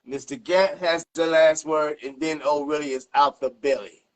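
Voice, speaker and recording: High-pitched streetwise man. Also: steady tone, normal speed, low-quality recording. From South Central Los Angeles, United States. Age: 50s. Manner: streetwise, slangy, profane. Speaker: interview host. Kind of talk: casual chat.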